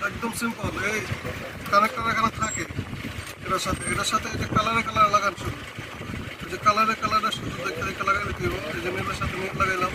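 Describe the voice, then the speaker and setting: A man's voice: high-pitched, very noisy. A man with a Bangladeshi accent giving an informal hands-on how-to as an electrician, often repeating his points. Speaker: electrician presenting a how-to video. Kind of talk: casual hands-on tutorial narration.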